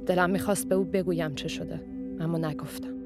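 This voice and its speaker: A woman voicing a young woman prisoner, reading her letters in a measured first-person voice-over from a documentary. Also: lowish voice, fairly even intonation, lightly acted. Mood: sober. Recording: loud music under the voice-over.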